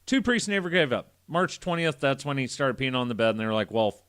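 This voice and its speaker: A man with a mid-pitched, highly animated voice hosts a casual podcast. He reads a story aloud and breaks off for irreverent, sweary asides. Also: fairly quick, clear, resonant tone. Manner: irreverent, foul-mouthed, joking. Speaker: podcast host.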